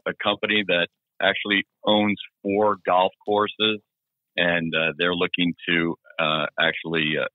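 A man pitching a business listing as a guest, halting with many fillers. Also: no echo. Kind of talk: sales pitch. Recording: phone line.

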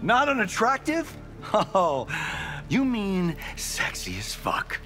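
A cocky, flirtatious movie star in a fighting game. His high voice drawls playfully and swoops wildly over faint music. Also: wildly swooping pitch, slow pace, fairly bright tone, exaggerated voice. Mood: cocky, flirty. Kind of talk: fighting game character dialogue.

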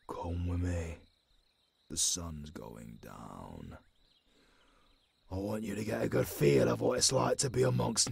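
A legendary old werewolf mentor for an audio roleplay, with a rough London British accent. The voice is extremely low and crackles with heavy gravel. He speaks slowly, in a tough, commanding yet caring way. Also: heavily acted. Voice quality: very deep, raspy, gravelly growl. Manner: slow, rough, swearing, commanding.

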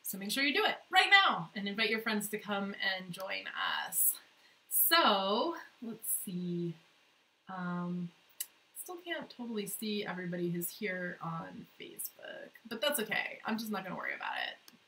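Casting: American woman, livestream host, mid-pitched and bright, slightly congested from a cold, halting casual delivery. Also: big pitch swings, low-quality recording. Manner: informal, halting, improvised. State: sniffly with a cold. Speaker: livestream host, national director of an advocacy group. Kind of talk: casual livestream chat to viewers. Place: corner of a kitchen in a house, indoors.

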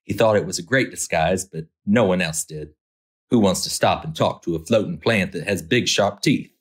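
A man's voice: low-pitched, moderate pace, breathy edge. A man narrating a children's picture book as a storytime host, expressively, drawing out key words for effect.

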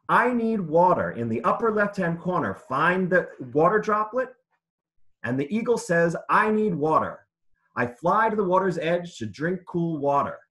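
Man, fairly high voice, lively intonation, children's read-aloud lesson, simple teacherly phrasing, questions to listeners. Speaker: author reading aloud to children.

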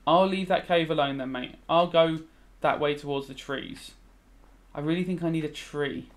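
A British man in his thirties with a fairly high voice talks casually as a teacher. He rambles and thinks aloud, moving between explaining a subject and musing over a video game. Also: moderate pace. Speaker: religious studies teacher. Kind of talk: casual revision commentary while playing a video game.

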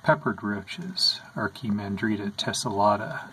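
Slow, calm man explaining his insects. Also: on the low side, a little hiss or hum, poor audio. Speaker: insect keeper narrating. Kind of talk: casual narration over hobby footage. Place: indoors at an insect tank.

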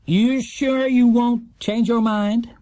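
A man playing a smug male quiz show master of ceremonies in a children's storybook, with a drawn-out showman's delivery. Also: extremely high pitch, steady tone, warm tone, no echo, clean studio sound, voice pushed up.

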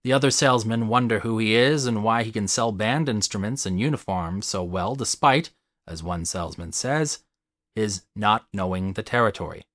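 A man reading a scripted, analytical video essay in a measured, articulate way, stressing key words. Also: lowish voice, a bit bright, dry recording, studio quality.

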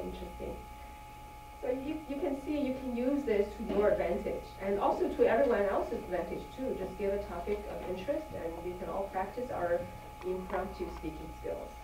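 A woman hosting a club meeting, chatty and encouraging. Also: mid-range voice. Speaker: meeting host, toastmaster of the day. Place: meeting room with long tables. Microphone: far camera. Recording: echoey room from far off, noisy.

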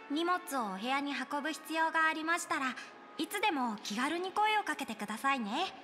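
Young game bellboy, very high pitch, animated, polite, eager, service speech. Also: female voice, a little slow, soft background music, heavily acted. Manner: courteous, formal service speech. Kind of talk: Japanese video game voice acting.

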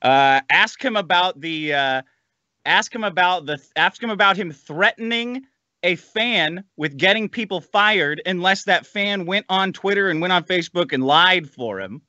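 A man's voice: sits high, expressive, measured pace, sharp tone, no room sound, highs cut off. A man ranting casually as a podcast guest, profane and sardonic, over a remote call.

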